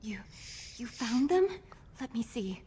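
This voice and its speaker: A woman's voice: fairly high pitch, sing-song, takes it slowly, warm tone, husky, exaggerated voice. Earnest young dwarf noblewoman. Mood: anxious hope. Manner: earnest, heartfelt. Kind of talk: fantasy video game acting.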